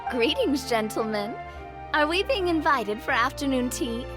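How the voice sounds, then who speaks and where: A woman's voice: high voice, expressive, unhurried, over music. A woman playing a bold young female adventurer in a video game, with a playful, self-assured manner. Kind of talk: English video game voice acting.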